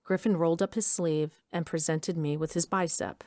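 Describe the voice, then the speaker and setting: A woman's voice: deep voice, fairly even intonation, moderate pace, darkish tone, no room sound, studio quality, close to an everyday voice. A middle-aged woman playing a determined, wry mother who narrates her own adventure in an audio drama. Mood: measured storytelling. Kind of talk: first-person scripted narration.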